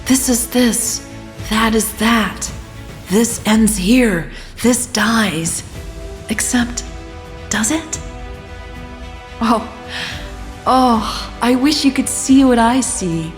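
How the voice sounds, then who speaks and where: A woman voicing an earnest female speaker who pleads for peace in a slow, emphatic speech for an audio story. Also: on the high side, roomy sound, in a large hall, soft background music, voice pushed up. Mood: wistful, earnest. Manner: slow, beseeching, emphatic, repetitive. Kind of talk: dramatised audio fiction speech.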